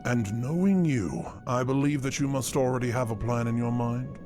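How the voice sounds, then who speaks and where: An actor playing a kindly, stately old monster king in a comic dub, speaking gently and deliberately with courtly manners. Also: mid-range voice, over music, natural voice. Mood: gracious, impressed. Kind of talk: fan comic dub voice acting.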